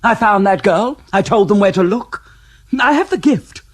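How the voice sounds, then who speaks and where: An actor playing a sly male clairvoyant in a radio drama, speaking in a slow, teasing, insinuating way. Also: high voice, animated. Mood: insistent. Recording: radio play with faint music.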